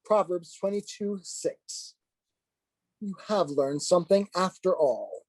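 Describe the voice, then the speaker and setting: A man's voice: high voice, lively intonation, dry recording, full character voice, low-quality recording. Stern, dryly sardonic priest played by a man. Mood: solemn lecturing. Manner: formal, measured, lecturing. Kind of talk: dramatized play script reading.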